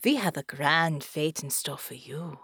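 A woman narrator voicing a bored, haughty alien giant woman commander, speaking in a languid, hushed, condescending way. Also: low voice, measured pace, vocal fry, breathy edge, no echo, studio quality, voice pushed down, heavily acted. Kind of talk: audiobook narration.

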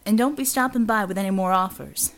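A woman voicing a gruff, sardonic male loner in an audiobook, terse and folksy, guarded with strangers. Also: medium pitch, animated, fast. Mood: firm, dismissive. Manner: terse, drawling, folksy, dropped words.

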